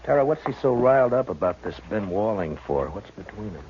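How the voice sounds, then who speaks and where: An actor playing a gruff, steady marshal of the old West in a 1950s radio western, laconic and measured. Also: male voice, on the low side, slight background noise. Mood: reassuring. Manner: laconic, calm, measured questioning. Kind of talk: 1950s radio western acting and first-person narration. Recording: dull vintage radio recording with music.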